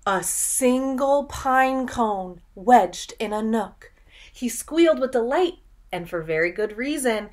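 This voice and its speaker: A young woman reads a picture book to children at an unhurried pace. She enunciates deliberately and tells the story expressively, like a teacher guiding little listeners. Her voice sits at a medium pitch. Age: young adult. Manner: animated storytelling, deliberate enunciation, audible gasps. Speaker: speech and language teacher reading aloud. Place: small furnished room at home, indoors.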